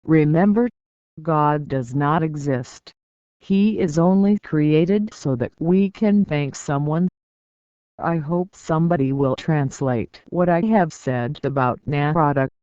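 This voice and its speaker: An audiobook reader giving a scripted narration, reading in a measured, deliberate way with pauses between phrases. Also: medium pitch, darkish tone, clean tone, no room sound, dull audio.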